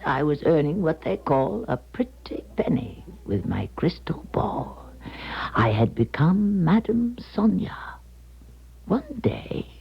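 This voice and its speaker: Elderly clairvoyant, slow and deliberate, swooping intonation, earnest, mysterious, hushed endings, radio drama, muffled broadcast sound. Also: female voice, mid-range voice, heavily acted. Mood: welcoming, flustered. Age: older. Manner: theatrical, deliberate, hushed breathy phrase endings. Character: elderly Russian émigré woman, former clairvoyant, earnest and mysterious. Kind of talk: 1970s radio drama acting, confiding monologue.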